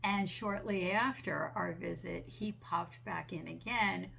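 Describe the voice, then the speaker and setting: Woman channeler, raspy from a sinus infection, lowish gravelly voice, unhurried earnest delivery. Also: a little hiss or hum. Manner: slow, gentle, earnest with small restarts. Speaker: spiritual channeler. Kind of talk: relaxed monologue relaying a channeled message.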